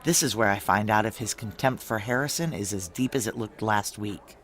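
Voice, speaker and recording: An actor playing a determined, tense security chief, narrating a noir audio drama in a clipped, urgent, hard-boiled manner. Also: male voice, on the low side, normal speed, a bit bright, natural voice. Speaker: narrator and lead character.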